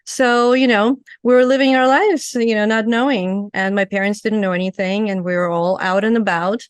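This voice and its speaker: A woman in her forties from Ukraine talks as a podcast guest. Her voice is mid-pitched and soft, and she speaks at a measured pace with frequent "you know" and "um." The sound is dry and close. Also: steady tone, clean tone, no echo. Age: 40s. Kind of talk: conversational interview.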